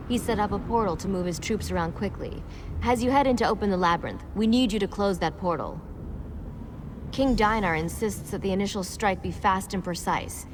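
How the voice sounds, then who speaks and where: A woman's voice: medium pitch, background noise, lightly acted. A woman voicing a wary, businesslike mage officer briefing an ally in a video game.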